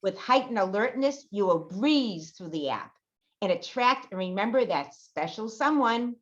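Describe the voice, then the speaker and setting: A woman performs an enthusiastic older customer selling a product in a spoof radio commercial. Her pitch is middling and the delivery is scripted testimonial, on slightly dull audio. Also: animated, unhurried, lightly acted. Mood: promotional.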